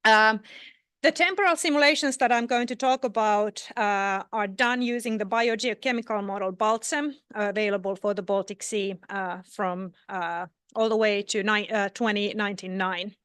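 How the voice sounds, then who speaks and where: A woman's voice: medium pitch, steady tone, normal speed, a bit bright, smooth, no room sound, clean studio sound. A woman from Finland giving a scientific conference talk, hesitating often with fillers and restarts. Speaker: conference speaker. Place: conference hall. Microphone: no microphone.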